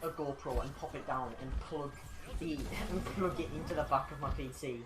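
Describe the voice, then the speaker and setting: A man's voice: fairly high pitch, moderate pace, noisy background. A young man hosting a charity game stream, chatting casually and rambling with lots of fillers and repeats. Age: young adult. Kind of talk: casual chat while streaming games. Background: loud music.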